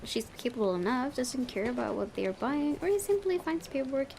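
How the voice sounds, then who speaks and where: A woman's voice: sits high, expressive, brisk, gravelly, darkish tone, slight background noise. A woman hosting a gaming video, reading visual novel narration aloud and occasionally stumbling and correcting herself.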